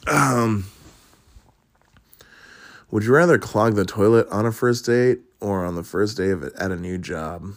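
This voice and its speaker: A man's voice: lowish voice, a little slow, long pauses, dry recording, studio quality. A man hosting a podcast, chatting casually through would-you-rather questions, rambling and swearing with frequent laughs. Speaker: podcast host.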